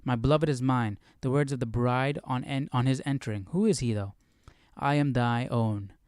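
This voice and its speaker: A man hosting a live Bible study, reading and commenting casually in a musing, questioning, halting way. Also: mid-range voice, a little mellow, clean tone, no echo, clean studio sound.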